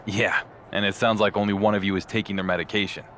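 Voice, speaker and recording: An actor playing a confident, sarcastic champion wrestler in a video game, with a dry, dismissive manner. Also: male voice, on the low side, flat delivery, fast talker, a bit bright, slight background noise, close to an everyday voice. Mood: exasperated, sarcastic. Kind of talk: scripted video game cutscene acting.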